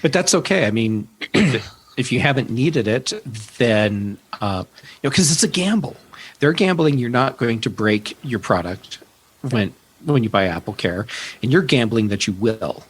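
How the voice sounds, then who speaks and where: A man's voice: medium pitch, lively intonation, moderate pace, breathy edge. A man speaking as a podcast guest in casual tech chat, conversational and emphatic.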